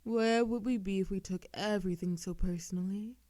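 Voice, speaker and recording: Woman acting poised vampire noblewoman, mellow, slow, soft, knowing, wry, dry close sound, ASMR roleplay. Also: mid-range voice, steady tone, dry recording, natural voice. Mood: calm, teasing. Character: composed, wryly teasing old-world vampire woman.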